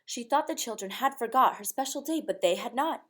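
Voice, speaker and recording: High-voiced woman reading a storybook. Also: animated, a little fast, slight background noise. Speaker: teacher reading a picture book aloud. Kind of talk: expressive rhyming storybook read-aloud.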